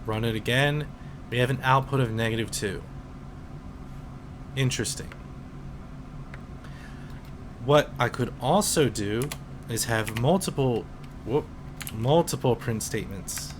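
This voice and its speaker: A man in his twenties, a teacher, calmly explaining programming in a casual screen-recorded tutorial with some fillers. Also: mid-range voice, background noise. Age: 20s. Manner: slow, relaxed explaining with fillers.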